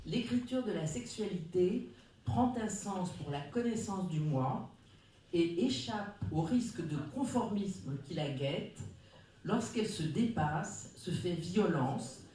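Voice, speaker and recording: Round-table speaker, measured formal reading, far from the mic, booming room. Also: animated, mellow, a little hiss or hum. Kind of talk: reading a prepared talk. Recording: very echoey room, distant mic.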